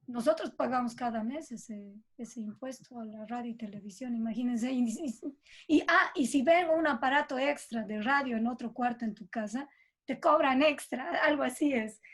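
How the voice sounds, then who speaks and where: A woman with a Bolivian Spanish accent talking casually as an interview guest, thinking aloud and often adding tag questions. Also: a little high.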